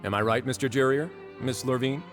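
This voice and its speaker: An actor voices a man in command of a fortress in an anime game. He is dry, courteous and unhurried in authority, at a middling pitch. Soft music sits behind him. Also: steady tone, lightly acted. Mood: composed, wry. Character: composed, wry man in charge of a fortress. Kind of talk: anime-style video game voice acting.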